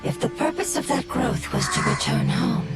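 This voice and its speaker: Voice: extremely low pitch, wildly swooping pitch, normal speed, heavily acted. An actor voicing a solemn, noble, resigned otherworldly spirit in a video game, with a grave and formal delivery. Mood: solemn, resolved. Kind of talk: video game character acting. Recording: loud background music.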